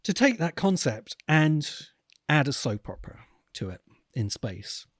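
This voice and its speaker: A man hosting a podcast, giving reflective commentary in deliberate, emphatic phrases with pauses. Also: on the high side, very animated, no echo, clean studio sound. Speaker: podcast host. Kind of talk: reflective commentary on books and film.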